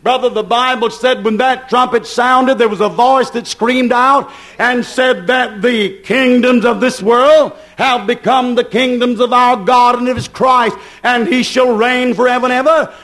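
High-pitched man preaching a fervent sermon. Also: fairly bright tone. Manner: emphatic, repetitive, incantatory phrasing; poses rhetorical questions and answers them.

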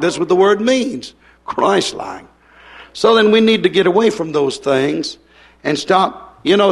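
A hoarse man preaching a fiery revival sermon, hollering and then dropping soft. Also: sits high. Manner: hollering, swings from loud to soft.

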